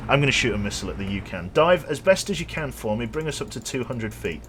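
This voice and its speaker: A chatty young man rambles through a live gaming stream, talking to his viewers as he plays. His pitch moves a lot from line to line. A faint ambient noise sits under his voice. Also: expressive, quick pace. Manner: rambling, chatty, reads chat aloud and jokes. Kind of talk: casual live-stream chat while gaming.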